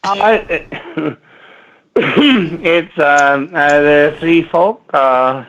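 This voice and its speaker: Slow, halting man on a dull phone line. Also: fairly high pitch, a bit bright. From Minneapolis, United States. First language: Latvian. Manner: hesitant, halting, full of fillers. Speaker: caller. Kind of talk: rambling phone call to a radio show. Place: front porch outdoors.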